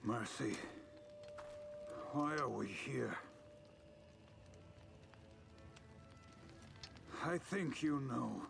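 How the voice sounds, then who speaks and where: A man playing a weary, principled knight in a video game, grave and deliberate, with a gravelly voice. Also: mid-range voice, lively intonation, music in the background, exaggerated voice. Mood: stern. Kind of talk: cinematic video game acting.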